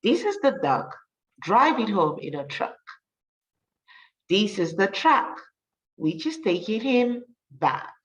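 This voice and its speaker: A woman teacher reading a rhyming story for children, with an encouraging manner and deliberate, emphatic delivery. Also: mid-range voice, very animated, recorded from a distance, highs cut off. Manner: encouraging, praising, addressing children directly.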